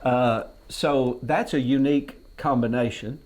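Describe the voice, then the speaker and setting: A man's voice: mid-range voice, unhurried, darkish tone. Male talk show host, folksy, joking, conversational, studio. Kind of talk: casual TV interview. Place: television studio.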